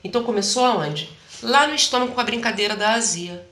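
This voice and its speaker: Animated woman with a bright, echoey voice. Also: on the high side, brisk. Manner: animated, acts out quoted voices, laughs often. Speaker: health practitioner guest. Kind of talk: explanatory interview talk.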